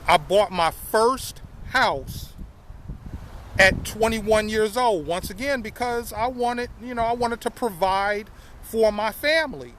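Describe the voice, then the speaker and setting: Older-ish guy vlogging outside, high voice, says y'all and repeats stuff, faint traffic around. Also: slow, fairly bright tone. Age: 50s. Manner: repeats phrases, addresses viewers as y'all. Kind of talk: casual storytelling to camera.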